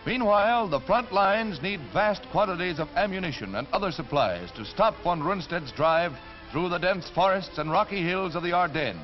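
High-pitched American man, vintage newsreel announcer. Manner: dramatic, formal announcer delivery. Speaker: newsreel narrator. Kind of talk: 1940s newsreel scripted narration. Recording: dull 1945 newsreel film soundtrack.

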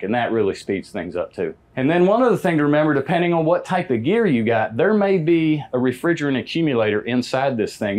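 A man's voice: medium pitch, a little mellow. An American man in his fifties explains a procedure casually and practically as a trade instructor, in a plain-spoken, folksy way. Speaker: HVAC technician instructor. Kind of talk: hands-on demonstration, casual explanation. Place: indoor parts warehouse. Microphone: lapel mic.

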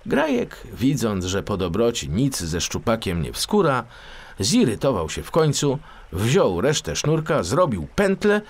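A man narrating a scripted children's fairy tale audiobook in an expressive, theatrical storytelling manner. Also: medium pitch, measured pace.

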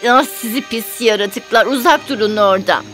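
A woman playing a spoiled, rude young stepsister who complains petulantly in an animated fairy tale. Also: on the high side, normal speed, soft background music, lightly acted. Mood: petulant, grumbling.

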